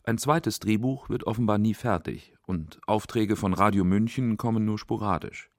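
Low-voiced male documentary narrator. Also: normal speed, no room sound, clean studio sound. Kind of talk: scripted radio documentary narration.

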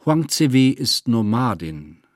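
Dry-sounding man narrating formally, medium pitch. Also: big pitch swings, slow, no echo. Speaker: narrator of a literary radio text. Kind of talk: scripted literary narration.

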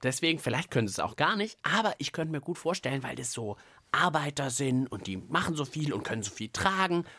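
A man with a lively, widely varying pitch co-hosts a kids' science podcast. He banters casually and playfully, thinking out loud as he goes. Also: fast talker. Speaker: co-host of a children's science podcast.

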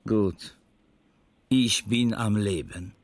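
An old crook in hiding in a radio thriller. He talks very slowly and broodingly, bitter and fatalistic, in a dry recording. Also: fairly low pitch, no room sound. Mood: bitter resignation. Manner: slow, brooding, resigned. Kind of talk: German radio drama acting.

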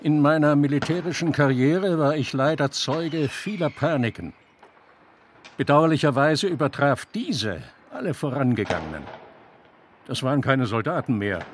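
An actor playing a stiff, aggrieved army general giving a formal, pompous report in a radio drama. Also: male voice, a little high, very animated, normal speed, a little hiss or hum. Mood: indignant, regretful.

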